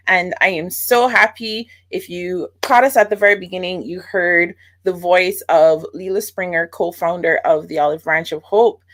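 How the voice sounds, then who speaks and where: A woman with a Barbadian accent hosts an event and interviews a guest with a deliberate, emphatic delivery. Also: lowish voice, fairly bright tone. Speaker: host.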